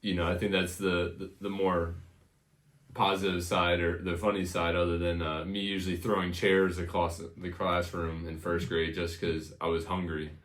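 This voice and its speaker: American man, thirties, low-pitched voice, informal storyteller, reminiscing, roomy echo. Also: flat delivery, moderate pace, fairly bright tone. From Connecticut, United States. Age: 30s. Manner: informal, reminiscing, frequent "you know". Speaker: interview guest. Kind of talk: casual personal storytelling.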